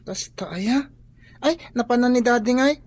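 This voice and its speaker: A woman's voice: fairly high pitch, moderate pace, darkish tone, natural voice. A woman with a Filipino accent playing an inquisitive daughter in a radio drama. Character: inquisitive daughter pestering her mother with questions.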